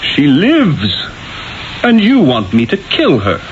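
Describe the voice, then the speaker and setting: An impassioned, proud old-world master artist for 1970s radio. His delivery is lofty and theatrical, with lively swings of pitch. The broadcast is dull, with faint noise. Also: slow, exaggerated voice. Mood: indignant, impassioned. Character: proud, impassioned male Renaissance master painter. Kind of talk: 1970s radio drama acting.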